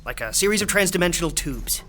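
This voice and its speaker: A man's voice: sits high, expressive, fast, fairly bright tone, soft background music. An actor playing an earnest, obsessive young man in an audio drama, hopeful but defensive. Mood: earnest, uneasy.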